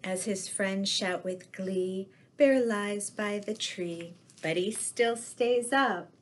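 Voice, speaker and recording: Middle-aged woman, children's storytime reader, mid-range voice, unhurried and expressive read-aloud delivery. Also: medium pitch. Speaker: storyteller in costume as Mrs. Claus. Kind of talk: reading children's picture books aloud. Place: living room with fireplace, indoors.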